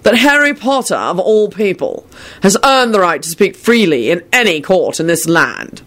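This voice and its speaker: A woman voicing a stern, dignified man who leads a court, intoning gravely and deliberately in an audiobook. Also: mid-range voice, sing-song, fairly bright tone, voice pushed up. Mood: ominous. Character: stern, ominous, dignified male court leader.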